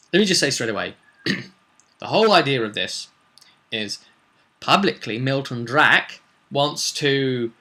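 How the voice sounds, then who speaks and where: A man's voice: mid-range voice, pushed and bright. A man talking as a game master in a casual storytelling vlog, with theatrical emphasis and rambling asides. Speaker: game master recapping a tabletop roleplaying campaign.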